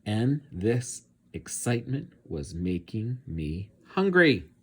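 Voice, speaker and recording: Slow, animated male storybook narrator. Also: fairly low pitch, husky. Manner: dramatic, expressive, suspenseful. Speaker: read-aloud teacher narrating. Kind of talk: children's storybook read-aloud.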